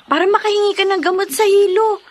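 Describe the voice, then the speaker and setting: An actress with a Filipino accent playing a chatty, caring young best friend in a radio drama, exclaiming and teasing. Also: female voice, very high-pitched, steady tone, quick pace. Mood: concerned.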